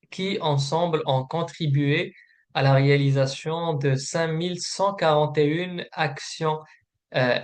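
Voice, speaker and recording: Male webinar presenter, slightly high voice, formal and informational, hesitant with frequent filler pauses. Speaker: program manager presenting a webinar.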